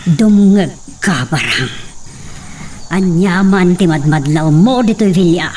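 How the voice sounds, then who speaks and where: A woman's voice: lowish voice, expressive, takes it slowly, darkish tone. Woman acting a menacing hacienda lady, distant mic. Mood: stern, suspicious. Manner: imperious, threatening. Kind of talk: audio soap drama acting. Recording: distant mic, faint background noise.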